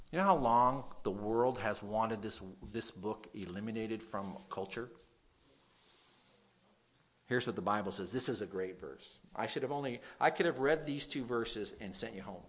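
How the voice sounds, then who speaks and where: Nasal male pastor preaching, stresses lines loudly, drops quiet, stumbles on words now and then. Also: fairly low pitch, expressive, unhurried. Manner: reads scripture aloud, swings between loud emphasis and quiet; occasional stutter on words. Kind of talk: sermon.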